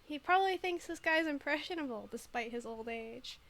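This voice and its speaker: A woman's voice: high-pitched, animated, normal speed, a bit bright, slight background noise. A giggly, rambling woman with a very slightly tinged American accent, a native Mandarin speaker, giving casual commentary as she streams a game. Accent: American with a very slight foreign tinge. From United States, moved there very young.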